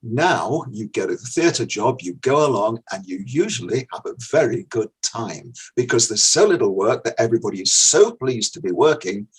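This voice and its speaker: Older male, husky, medium register, theatre actor in relaxed interview conversation. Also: mid-range voice, normal speed, few pauses, poor audio. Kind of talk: casual interview anecdote.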